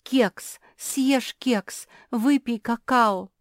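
A woman's voice: lowish voice, big pitch swings, takes it slowly, darkish tone, no room sound, studio quality, heavily acted. A woman voicing a fussy, tender mother dog in a storybook, coaxing and emphatic. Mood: anxious coaxing.

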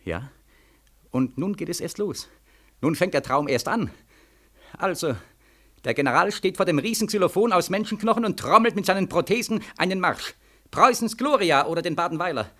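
Haunted young returned soldier, highish voice, wildly swinging pitch, bitter, sardonic, 1940s German radio drama. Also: male voice, animated, a little fast, a bit bright. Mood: feverish, anguished. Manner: feverish, sardonic, repetitive, swinging from weary murmur to anguished cries.

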